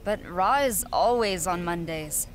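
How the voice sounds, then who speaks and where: A woman voicing a determined young female rookie wrestler in a video game, answering calmly and confidently with dry replies. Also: fairly low pitch, unhurried, lightly acted, low-quality recording. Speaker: player character.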